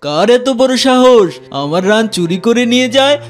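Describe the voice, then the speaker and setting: A man's voice: very high voice, animated, normal speed. A man doing a blustering cartoon tiger. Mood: angry. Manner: blustering, threatening. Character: fierce bullying male tiger, hot-tempered. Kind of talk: cartoon voice acting. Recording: music behind.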